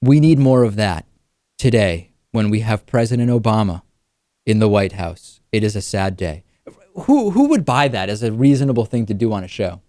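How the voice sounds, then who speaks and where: A man's voice: lowish voice, expressive, moderate pace, mellow, no room sound, studio quality. A man hosting a political talk show, giving conversational commentary.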